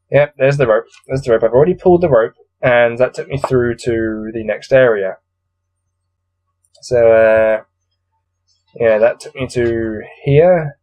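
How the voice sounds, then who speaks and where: A man's voice: mid-range voice, a little mellow, poor audio. A man hosting a gamebook read-through, mixing read-aloud narration with casual, bored and exasperated commentary. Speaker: host reading a gamebook aloud.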